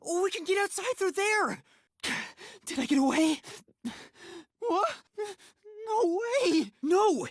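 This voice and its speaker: A man playing a timid, earnest young amnesiac trainee detective in an English video game, with a light, breathy voice and a hesitant manner. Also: extremely high pitch, sing-song, slow pace, no echo, clean studio sound, full character voice. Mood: panicked. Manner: hesitant, soft-spoken, stammering when flustered.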